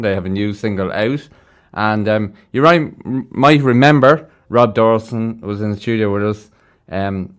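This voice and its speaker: A man's voice: fairly low pitch, clean tone. A man with an Irish accent hosting a casual live interview show in a radio studio. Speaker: radio host.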